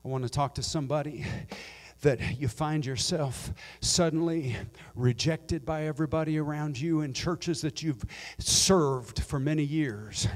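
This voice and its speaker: High-voiced impassioned Pentecostal preacher man. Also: measured pace. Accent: American. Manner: impassioned preaching, swelling to near-sung, shouted climaxes. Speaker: pastor. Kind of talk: Pentecostal sermon. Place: church sanctuary. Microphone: handheld microphone.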